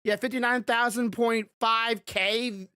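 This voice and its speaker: A man hosting a podcast in casual, rambling chat, with a loud voice that rises when he gets passionate about something. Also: extremely high pitch, fairly even intonation, takes it slowly, smooth, no room sound, studio quality. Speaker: podcast host.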